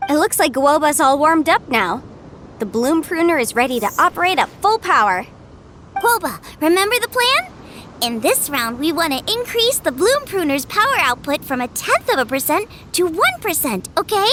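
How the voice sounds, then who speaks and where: High-voiced, practical teenage girl. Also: sing-song, moderate pace, a bit bright, a little hiss or hum. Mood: matter-of-fact. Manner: encouraging, matter-of-fact, explanatory. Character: cheerful, practical teenage girl, coaching her companion. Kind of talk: animated video game acting.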